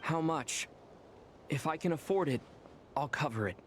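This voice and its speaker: An actor playing an earnest, heroic young traveler in a video game, sincere and thoughtful in manner. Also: male voice, fairly high pitch, expressive, moderate pace, a little hiss or hum, lightly acted.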